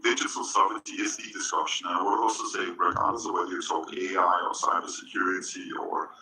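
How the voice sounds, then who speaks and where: A man's voice: sits low, steady tone, fast, pushed and bright, a little hiss or hum, poor audio. An older man with a Danish accent speaking as a panelist on a conference stage, conversational and self-deprecating, turning to address the audience. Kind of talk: panel discussion.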